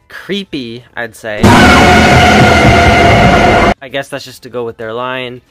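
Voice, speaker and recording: A man's voice: mid-range voice, a bit bright. A teenage boy talking casually and offhandedly while reviewing toys, his hands handling them on a table. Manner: chatty, offhand. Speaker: toy reviewer. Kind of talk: casual toy review vlog.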